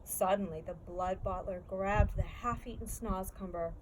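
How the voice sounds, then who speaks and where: A woman reading a children's story aloud in an expressive storybook style. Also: medium pitch, a little slow. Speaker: story reader. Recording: clearly noisy background.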